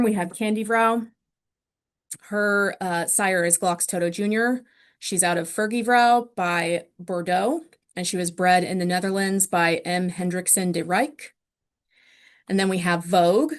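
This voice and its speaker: A woman presenting an online awards ceremony, steadily listing winners and their breeding lines with frequent ums, speaking over a video call. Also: medium pitch.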